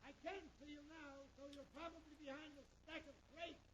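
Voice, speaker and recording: A man's voice: very high voice, steady tone, a little fast. A man playing a menacing, taunting space villain in a 1950s radio serial, on a very noisy old recording. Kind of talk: 1950s radio drama acting.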